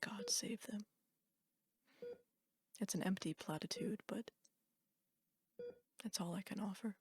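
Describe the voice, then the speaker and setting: A woman giving a dramatic reading of a first-person horror story in a breathy, whispery, deliberate voice. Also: mid-range voice, huge pitch swings.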